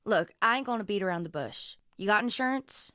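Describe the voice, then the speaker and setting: A woman's voice: mid-range voice, expressive, fairly quick, bright, forceful tone, no room sound, clean studio sound. A woman playing a blunt, protective, no-nonsense sister with an American accent in a naturalistic radio drama. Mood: blunt, skeptical. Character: blunt, protective adult sister, Texan mother.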